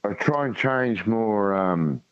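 A man with a thick rural Tasmanian accent, chatting casually as a radio caller in a halting, matey way. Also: on the low side, expressive, smooth, no room sound. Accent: thick rural Tasmanian Australian. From Tasmania, Australia. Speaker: radio call-in guest. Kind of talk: casual chat. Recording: dull phone-in line.

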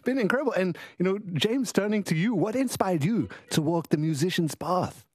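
A man's voice: high-pitched, lively intonation, normal speed, a little mellow, breathy edge, dry recording. A man hosting an interview, enthusiastic and slangy, often saying "yo" and "bro". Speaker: host. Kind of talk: upbeat interview.

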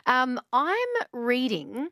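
A woman's voice: high voice, expressive, very slow, bright, forceful tone, dry recording. A woman hosting a casual radio chat, upbeat and reading out listener texts in the studio. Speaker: radio host. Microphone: studio microphone.

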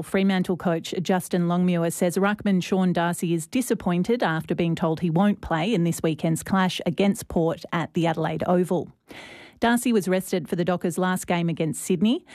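A woman's voice: on the low side, dark tone, no echo, studio quality. A woman presenting a radio news bulletin, reading from a script.

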